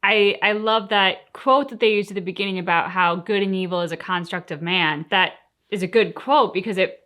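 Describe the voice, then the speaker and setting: Thoughtful woman with a mid-pitched voice. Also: normal speed, a bit bright. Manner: thoughtful, explanatory. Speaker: reaction video host. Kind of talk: casual reflective commentary.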